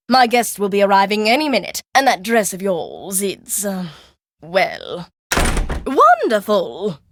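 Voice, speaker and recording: An actress playing a snobbish, imperious society hostess who orders people around. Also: female voice, medium pitch, fairly bright tone, no room sound, studio quality, exaggerated voice. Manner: imperious, condescending.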